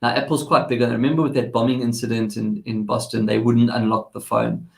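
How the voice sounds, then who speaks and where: A South African man hosts a relaxed tech chat with a gravelly edge to his voice. He talks in a rambling way, full of restarts and asides. The sound is echoey, like a bare studio room. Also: medium pitch, fast. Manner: chatty, rambling, with many restarts. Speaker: tech segment host. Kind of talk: casual chat.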